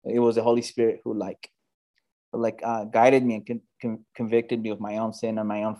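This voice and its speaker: A man's voice: on the low side, little pitch movement, brisk, dark tone. A man in his thirties from the Bay Area, a church planter giving earnest, conversational interview answers full of fillers and restarts. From United States, Bay Area.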